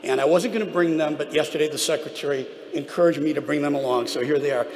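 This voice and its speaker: A man giving prepared remarks as a trade association leader at a public event, with an emphatic, deliberate delivery. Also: on the high side. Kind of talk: prepared podium speech. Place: large echoing hall at a public event. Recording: echoey hall, clear background noise.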